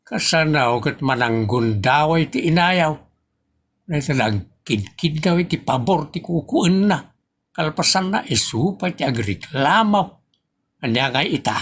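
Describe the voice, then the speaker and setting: A man with a northern Philippine accent acting an exasperated husband and father in a comedy audio drama, scolding deliberately and emphatically. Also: a little high, lively intonation, slightly rough. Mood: grumbling.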